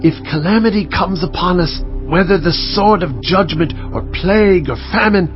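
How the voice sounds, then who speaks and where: A man's voice: high-pitched, moderate pace, lo-fi sound, music in the background, natural voice. An actor playing a devout biblical king who pleads and exhorts earnestly in a dramatized audiobook. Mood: fervent pleading. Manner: earnest, declamatory oratory. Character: pleading, devout king of Judah praying before his people.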